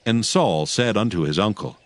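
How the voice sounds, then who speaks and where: A man narrating dramatized scripture as a solemn, measured storyteller. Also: lowish voice, expressive, no echo.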